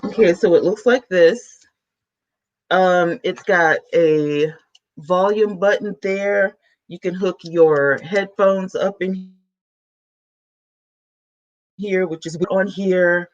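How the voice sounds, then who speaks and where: A woman YouTuber, chatting excitedly to her viewers from home while she sets up a new microphone. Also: fairly low pitch, slow pace, long pauses, highs cut off. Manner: chatty, excitable, talks to chat. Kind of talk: casual vlog chat to viewers. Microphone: camera mic, then a new plug-in USB mic.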